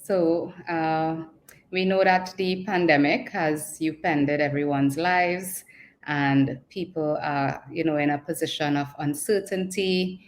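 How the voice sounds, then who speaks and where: Low voiced trinbago woman, psychologist explaining stuff on a panel. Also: steady tone, takes it slowly.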